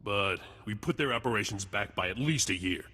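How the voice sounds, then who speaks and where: A man's voice: lowish voice, animated, a little fast, sharp tone, breathy edge, in a large hall, full character voice. A man acting a clipped, world-weary intelligence agent in a science-fiction video game. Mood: satisfied.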